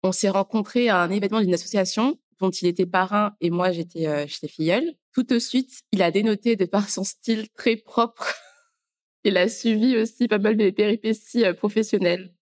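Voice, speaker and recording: A woman hosting a podcast, upbeat and clear as she introduces and asks questions. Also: mid-range voice, moderate pace. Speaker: podcast host. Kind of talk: scripted intro then interview questions.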